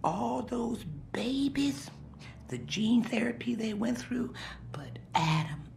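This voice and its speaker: An actress playing a kindly, forgetful elderly former nurse in a video game, breathy, quavering and wandering as she talks. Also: a little high, sing-song, takes it slowly, darkish tone, a little hiss or hum, heavily acted. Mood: haunted, remembering. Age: older. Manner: wandering, doddering.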